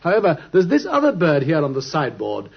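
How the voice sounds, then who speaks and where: An actor playing a shrewd, courteous British detective with an urbane, theatrical manner in an old radio drama. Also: male voice, a little high, wildly swooping pitch, brisk, gravelly, slightly rough, exaggerated voice. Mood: courteous, brisk. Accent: British English. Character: shrewd, courteous, self-assured male detective. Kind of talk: 1950s radio drama acting. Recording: muffled old radio recording.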